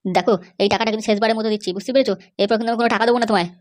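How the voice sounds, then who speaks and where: A woman's voice: fairly high pitch, fairly even intonation, rapid-fire, natural voice. A woman voicing an irritable, anxious young groom-to-be in a comedy cartoon, scolding in an exasperated way.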